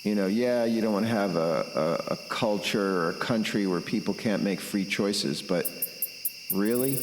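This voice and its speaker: A man delivering an intense, blunt, reflective personal monologue with deliberate pauses. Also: fairly low pitch, background noise. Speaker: podcast host and musician. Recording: roomy echo, long reverb, music underneath.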